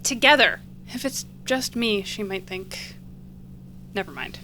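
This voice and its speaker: An actress playing an earnest, anxious young woman in an audio drama, informal and wry, sometimes halting. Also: mid-range voice, lively intonation, bright, forceful tone, slight background noise. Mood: teasing, nervous.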